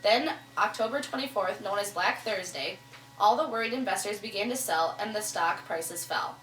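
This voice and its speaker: Roomy, distant mic. Period news anchor played by a woman, mid-pitched, scripted reading. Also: moderate pace, a bit bright, a little hiss or hum, lightly acted. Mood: matter-of-fact. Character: female 1920s studio news anchor. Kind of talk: scripted amateur mock newscast.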